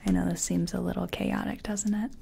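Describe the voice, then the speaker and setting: A woman speaking in a breathy whisper and soft tones, gently chatting in an ASMR personal-attention roleplay as a makeup artist. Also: fairly low pitch, little pitch movement, a little fast, slight background noise.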